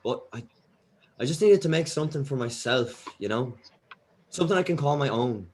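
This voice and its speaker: A man playing an earnest, hesitant young musician in a script table read, speaking in a halting everyday voice. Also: medium pitch, animated, darkish tone, slight background noise, natural voice. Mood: earnest, apologetic.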